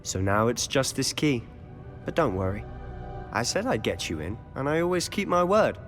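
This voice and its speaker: A man's voice: mid-range voice, very animated, moderate pace, soft background music, natural voice. A man voicing a confident, sly teenage wizard in a video game, with a British accent and a wry, conspiratorial manner. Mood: reassuring. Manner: conspiratorial, wry, self-assured. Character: confident, sly teenage schoolboy wizard, loyal rule-breaker.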